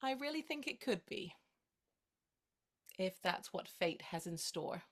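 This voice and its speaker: An actress playing a playful, tender young woman in a play reading, gently teasing as she courts her friend. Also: medium pitch, lively intonation, measured pace. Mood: romantic, hopeful. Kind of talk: two-hander stage play reading.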